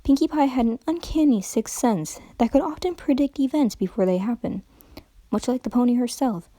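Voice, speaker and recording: A woman narrating a story in a warm, mellow voice that rises and falls with lively expression. The recording is dry and close, with no room sound. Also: fairly high pitch, moderate pace, no echo. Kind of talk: dramatic reading of fan fiction.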